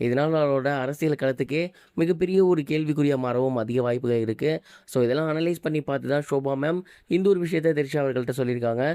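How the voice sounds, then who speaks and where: A man's voice: mid-range voice, quick pace, warm tone, smooth, no room sound, studio quality. A man presenting scripted gossip news commentary, dropping English words into his speech.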